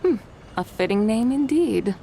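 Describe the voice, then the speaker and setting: A woman's voice: a little high, lively intonation, measured pace, gravelly, heavy background noise. A woman playing a serene, ancient and elegant immortal in a video game, with poised, formal and poetic delivery. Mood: amused, poised. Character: serene, ancient female adeptus, elegant and confident. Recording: music behind the voice.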